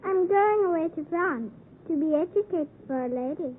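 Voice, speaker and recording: A woman's voice: extremely high pitch, expressive, normal speed. A woman playing a haughty, spiteful young girl, disdainful and clipped, in a 1950s radio drama. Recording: muffled, faintly noisy 1950s radio recording.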